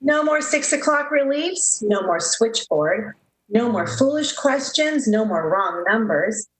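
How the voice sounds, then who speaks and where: A woman's voice: on the high side, expressive, unhurried, rough recording. Woman playing a lilting, lovestruck 1930s switchboard girl. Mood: dreamy, excited. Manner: earnest, romantic, gushing. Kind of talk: 1930s radio acting.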